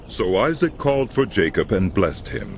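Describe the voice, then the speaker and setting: A man narrating scripture as a dramatic scripted story, measured and solemn. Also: fairly low pitch, sing-song, a little hiss or hum. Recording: loud music behind voice.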